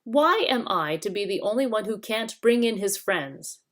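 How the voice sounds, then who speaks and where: A woman's voice: medium pitch, expressive, moderate pace, a bit bright, voice pushed up, natural voice. A woman voicing a bitter, quarrelsome male dwarf rebel with a scornful manner, in a storybook reading. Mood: sneering.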